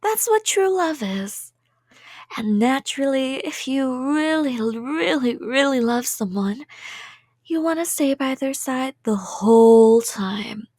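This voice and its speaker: A woman's voice: high voice, lively intonation, takes it slowly, a little mellow, no echo, studio quality, full character voice. A woman playing a cheerful, delusional, obsessive kidnapper in a rambling ASMR roleplay, sweet and playful and drifting into whispers. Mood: dreamy, obsessed.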